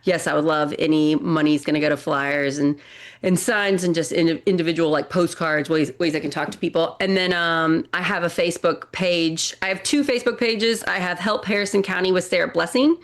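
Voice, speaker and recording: A woman's voice: fairly low pitch, steady tone, brisk. A woman in her forties from Indiana, a political candidate, talking in an earnest, eager way as a podcast guest. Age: 40s. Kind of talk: casual interview chat.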